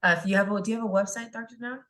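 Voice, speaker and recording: A woman's voice: mid-range voice, steady tone, very fast, a bit bright. Upbeat, chatty woman hosting a webinar. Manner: upbeat, chatty, many fillers. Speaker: podcast and webinar host. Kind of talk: casual video-call interview. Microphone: video call.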